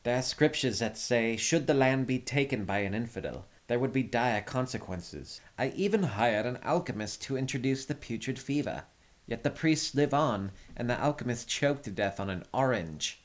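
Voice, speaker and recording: A man plays a dastardly prince in an audio drama. He sounds smug and scheming, in a medium-pitched male voice. His intonation swings widely as he talks. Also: expressive, normal speed, lightly acted. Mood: exasperated. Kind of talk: dramatized audio story acting.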